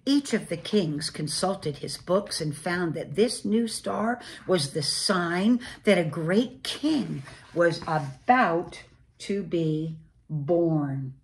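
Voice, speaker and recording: Slow-paced woman reading a children's story. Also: lowish voice, animated. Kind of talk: read-aloud children's storybook narration.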